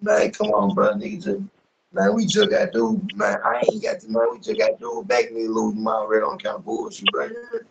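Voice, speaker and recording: A man's voice: high-pitched, animated, fairly quick, gravelly, dull audio, a little hiss or hum. A young American man talking casually on a video call in heavy slang, repeating himself for emphasis and checking he is heard. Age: young adult. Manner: repetitive, emphatic street talk with frequent tag questions. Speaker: rapper being interviewed. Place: small indoor room, on a video call. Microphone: phone at arm's length on video call.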